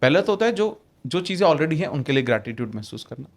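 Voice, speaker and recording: A man with a fairly high voice talks as a podcast guest, his pitch swinging widely as he explains. He drives his points home with rhetorical questions and slips English phrases into his sentences. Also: sing-song, fast. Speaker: podcast guest, manifestation author. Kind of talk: explanatory conversational talk.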